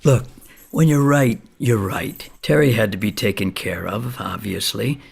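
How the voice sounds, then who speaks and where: Very gravelly, folksy neighborhood storyteller. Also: male voice, lowish voice, expressive, measured pace, heavily acted. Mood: defensive. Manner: folksy, colloquial, emphatic. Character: folksy neighborhood homeowner, plainspoken, wary yet easily won over. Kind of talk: conversational storytelling monologue.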